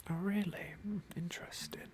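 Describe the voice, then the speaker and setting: Whispering man, soft ASMR game commentary. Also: high voice, steady tone, normal speed. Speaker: game playthrough host.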